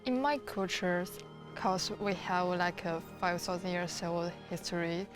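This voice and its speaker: A woman's voice: lowish voice, a little slow, over music. A woman from China whose first language is Chinese, answering a documentary interview hesitantly and searching for words in English.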